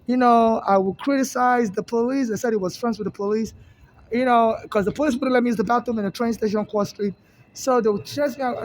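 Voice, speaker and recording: Woman, rambling halting monologue, traffic noise behind. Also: on the high side, darkish tone, rough recording. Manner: rambling, halting, many restarts.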